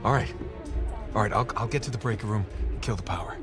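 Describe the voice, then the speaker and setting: Casting: male actor for confident American game hero, thirties, wry, low-pitched, under loud music. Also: fast talker, natural voice. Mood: planning, assured. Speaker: lead adventurer character. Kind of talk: naturalistic video game cutscene acting.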